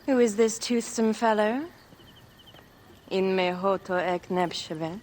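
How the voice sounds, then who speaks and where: A woman acting as an imperious Egyptian queen in a video game. She is seductive and regal, drawing out her words slowly. Faint ambient noise lies behind the voice. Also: medium pitch, a little mellow, close to an everyday voice. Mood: languid, teasing. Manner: languid, regal.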